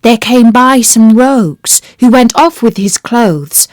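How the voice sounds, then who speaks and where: A woman reading a children's fairy tale as a storyteller, with deliberate, expressive phrasing. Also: a little high, no room sound, studio quality. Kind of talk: scripted children's storybook narration.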